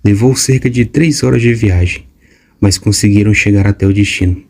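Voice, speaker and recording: A man giving scripted audiobook narration in an even, measured way. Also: on the low side.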